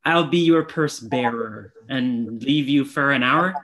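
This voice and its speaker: A young man playing a grateful, eager gentleman traveller, earnest and polite, in a Shakespeare comedy reading. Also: medium pitch, unhurried, slight background noise, close to an everyday voice, rough recording. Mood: eager, curious. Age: young adult. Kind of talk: Shakespeare reading over video call. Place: indoor room, video call.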